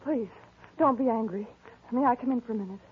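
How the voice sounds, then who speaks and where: A woman's voice: a little high, brisk, close to an everyday voice. A woman acting an earnest, plaintive female character in an old-time radio detective drama. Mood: pleading. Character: fussy, solicitous young female secretary or distraught woman neighbour. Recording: tinny, noisy old-time radio recording.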